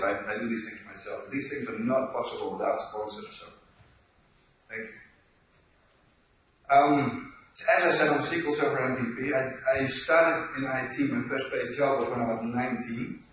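A Dutch man in his forties with a Dutch accent, giving a relaxed, joking conference talk in a large lecture hall. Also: medium pitch. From Netherlands. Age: 40s. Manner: joking, self-deprecating, chuckles often. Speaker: conference speaker. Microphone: room mic, speaker walking around stage. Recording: echoey hall, voice from far off.

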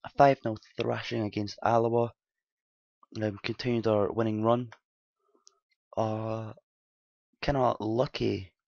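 A man with a slightly hoarse, dry voice casually talking through a football management game, rambling and correcting himself as he recaps results. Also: little pitch movement, slow, long pauses, darkish tone, no room sound. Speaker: game commentator. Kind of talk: casual gameplay commentary. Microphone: desktop mic at home, quiet. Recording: dull low-fi mic.

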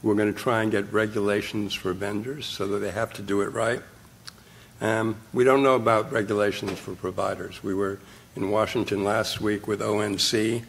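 Older male keynote speaker, low and gravelly, blunt and wry, podium mic in a conference room, faint noise behind. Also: level tone, moderate pace. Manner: blunt, wry, punchy short phrases. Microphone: podium mic, filmed from the audience.

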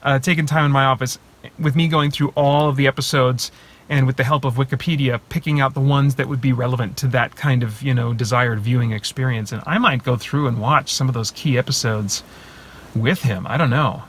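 A man in his thirties talking casually to a phone camera from inside his parked car, thinking aloud with many pauses and restarts. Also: medium pitch, steady tone, a bit bright, a little hiss or hum. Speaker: movie reviewer and channel host. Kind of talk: casual spoiler talk to camera. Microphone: phone camera at arm's length.

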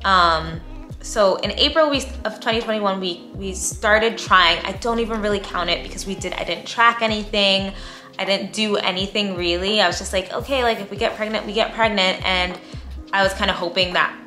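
A woman, chatting in a bubbly, talkative way as she vlogs to camera in a bright room at home. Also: medium pitch, moderate pace, echoey room, soft background music. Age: 30s. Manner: bubbly, chatty, talks with her hands. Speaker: lifestyle vlogger. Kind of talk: casual talk to camera.

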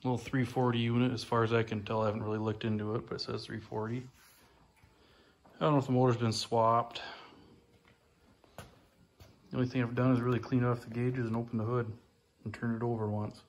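A man's voice: medium pitch, little pitch movement, brisk, pauses often. A man giving a casual, rambling walkaround of an old snowmobile in his garage, filmed on a handheld phone and often repeating "like I say". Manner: plain-spoken, rambling, repeats "like I say". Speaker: hobbyist restoring an old snowmobile. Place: garage workshop. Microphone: handheld phone camera.

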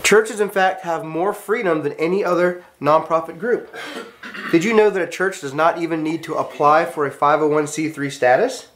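A man's voice: a little high, moderate pace, fairly bright tone, a little hiss or hum. An American man teaching a class, giving a storytelling lecture in an earnest, emphatic manner with short declarative sentences.

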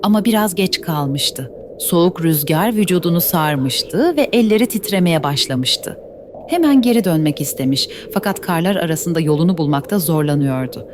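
A woman with a slightly low voice narrates a scripted tale for young children. She is the storyteller, and faint noise sits behind her. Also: expressive, normal speed.

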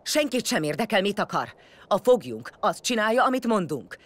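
A woman's voice: mid-range voice, big pitch swings. A woman playing a blunt, commanding warrior leader in an audio drama, vengeful and foul-mouthed. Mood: curt, commanding.

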